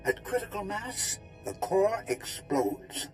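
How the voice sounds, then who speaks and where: High-voiced game alien scientist, swooping intonation, music behind. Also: male voice, very animated, slow pace, heavily acted. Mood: grave. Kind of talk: video game cutscene acting.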